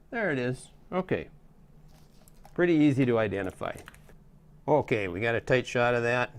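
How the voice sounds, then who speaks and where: A middle-aged man giving a casual, hands-on tutorial in a folksy, step-by-step way. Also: on the low side. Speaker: how-to host demonstrating a repair.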